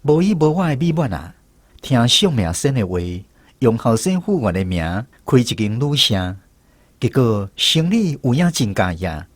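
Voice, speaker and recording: A man's voice: mid-range voice, very animated, moderate pace, no room sound. A man with a Taiwanese accent narrating a radio drama as a scripted storyteller.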